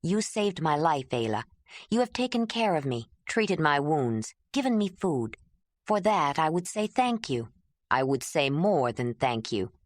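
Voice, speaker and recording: A woman doing a gentle, patient man. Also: sits low, measured pace, no room sound, clean studio sound. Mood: gentle, reassuring. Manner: calm, reassuring.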